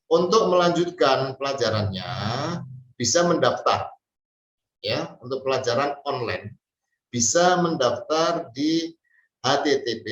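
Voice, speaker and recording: A man from Central Java, giving an Arabic language lesson slowly and hesitantly, with many fillers. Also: mid-range voice, a bit bright. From Central Java, Indonesia. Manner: slow, hesitant, with many fillers and drawn-out pauses. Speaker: Arabic teacher. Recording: distant mic, roomy and dull sound.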